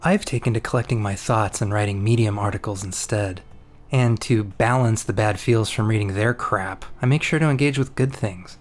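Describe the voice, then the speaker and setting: A man's voice: on the low side, moderate pace, a little hiss or hum. A man reading an informal first-person testimony aloud as a guest contributor. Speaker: guest reader of a written community response.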